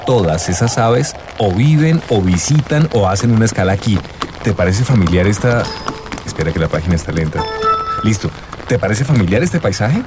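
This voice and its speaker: A man's voice: on the low side, expressive, normal speed, noisy background, lightly acted. An actor playing an earnest young man in love, searching and telling his story in a radio drama.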